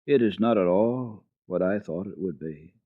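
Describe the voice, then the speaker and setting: A man voicing an eager, innocent little girl who is curious and excited, in a dramatic storybook reading. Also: low voice, normal speed. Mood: disappointed. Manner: excited, earnest. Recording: dull phone-like old radio recording, roomy echo.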